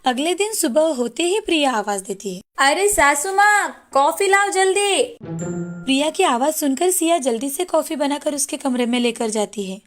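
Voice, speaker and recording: Woman narrator telling a moral story, high voice, soft music under it. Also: lively intonation, a little fast, few pauses.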